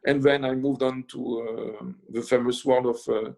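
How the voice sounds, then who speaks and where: A man's voice: mid-range voice, flat delivery, gravelly, a little mellow. A middle-aged man from France with a French accent, a chef talking about his career in a casual interview, full of fillers and restarts. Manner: halting, many fillers and restarts. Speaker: chef and guest.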